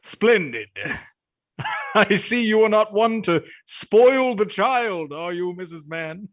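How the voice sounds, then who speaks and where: A man's voice: sits high, expressive, slow, no room sound. A man playing a pompous, cruel parish sergeant in a theatrical, florid way in a dystopian Victorian stage play reading. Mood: sly approval.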